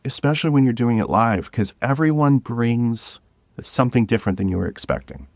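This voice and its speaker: A man speaking as an interview guest, telling stories about directing in a casual, halting way with some chuckles. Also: mid-range voice, expressive, no room sound. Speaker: guest, director of an audio drama podcast.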